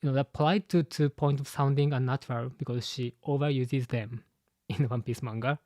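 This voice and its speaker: A man with a Japanese accent, a native Japanese speaker, hosting a live-stream commentary and talking haltingly with many fillers and restarts. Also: mid-range voice, steady tone, dark tone, dry recording. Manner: halting, full of "you know" fillers and restarts. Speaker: channel host and translator.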